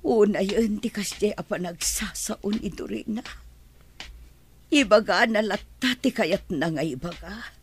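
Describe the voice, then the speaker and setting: A woman's voice: mid-range voice, measured pace, a little hiss or hum, natural voice. A woman playing a meek, apologetic house servant in a radio drama, hesitant, with an Ilocano Filipino accent. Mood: meek, hurt.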